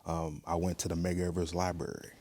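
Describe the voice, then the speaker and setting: Low, even-toned man. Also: fast talker. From Mississippi, United States. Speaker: museum director, interview guest. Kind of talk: thoughtful interview answers.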